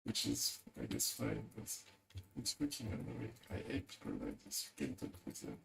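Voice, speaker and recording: A man's voice: lowish voice, sing-song, normal speed, creaky, a bit bright, slight background noise. A man streaming and commenting on a debate clip, blunt and exasperated, swearing freely. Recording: roomy echo.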